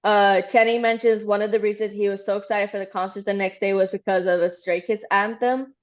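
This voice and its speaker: A woman's voice: mid-range voice, steady tone, fairly quick, lo-fi sound. A woman from Texas casually recapping a livestream in a chatty, rambling way with lots of fillers. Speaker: fan channel host.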